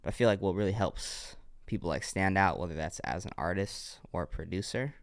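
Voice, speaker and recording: A man's voice: sits low, brisk, no echo, clean studio sound. A young man with a congested voice, giving laid-back, encouraging feedback on music submissions during a livestream. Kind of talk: casual livestream commentary.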